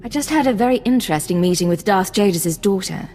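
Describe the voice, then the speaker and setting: A woman's voice: medium pitch, animated, fast, a little mellow, faint music behind, natural voice. A woman playing a cool, sardonic female imperial agent in a video game, with dry delivery.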